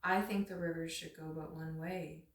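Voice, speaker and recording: A woman's voice: low voice, fairly even intonation, moderate pace, close to an everyday voice. A woman voicing a wise male raven who reasons out his opinion emphatically in a storybook reading, in an echoey room. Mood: firm, reasoning. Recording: roomy echo, distant microphone.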